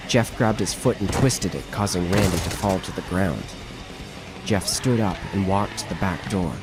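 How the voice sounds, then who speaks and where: Very deep male horror narrator. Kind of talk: scripted horror story narration.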